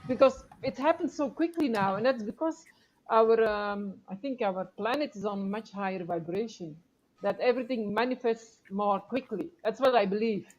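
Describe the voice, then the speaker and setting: A woman's voice: medium pitch, normal speed, a little mellow, muffled recording. A woman from Belgium chatting casually on a livestream, hesitant and searching for words, picked up by a computer mic. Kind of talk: casual group livestream chat. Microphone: computer mic some distance away. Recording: faint noise, computer mic at distance.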